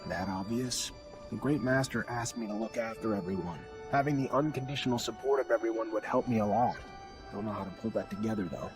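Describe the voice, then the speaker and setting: An actor playing a young, earnest and modest warrior hero in a video game. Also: male voice, lowish voice, fairly quick, music in the background, lightly acted. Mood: earnest, unsure. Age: young adult. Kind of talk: understated video game dialogue.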